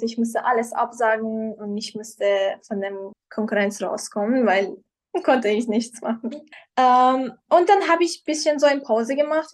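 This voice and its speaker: A young woman guest tells her story in casual German. Her voice sits a little high, and she often hesitates and reaches for the right word. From Iran. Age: young adult. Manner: hesitant, searching for words in learned German. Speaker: guest, professional model. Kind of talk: casual interview.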